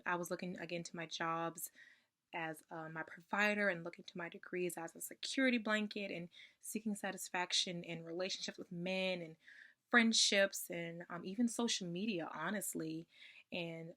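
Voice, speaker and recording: A young woman getting over a cold, her voice slightly raspy, sharing her personal testimony in a soft-spoken way with frequent ums. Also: lowish voice. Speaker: ministry member sharing testimony.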